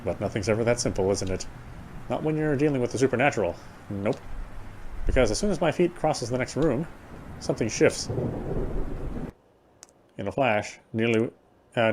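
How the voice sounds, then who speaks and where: A man's voice: mid-range voice, moderate pace, long pauses, a little mellow. Man narrating horror over background noise. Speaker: playthrough host. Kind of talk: dramatic read-aloud narration of a horror game.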